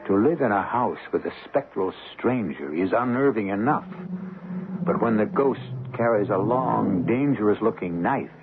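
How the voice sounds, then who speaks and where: A deep, gravelly male voice narrates through a narrow, faintly noisy old radio recording. the host of a spooky mystery program, grave and scripted in the vintage style. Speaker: announcer introducing a radio mystery.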